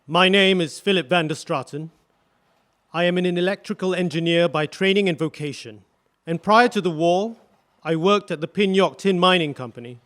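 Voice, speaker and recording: A man plays a grave widower testifying in a courtroom play. His voice is high for a man, and he speaks earnestly, with careful, solemn precision. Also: moderate pace, fairly bright tone. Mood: solemn, composed. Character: grave, earnest male electrical engineer, widowed war witness.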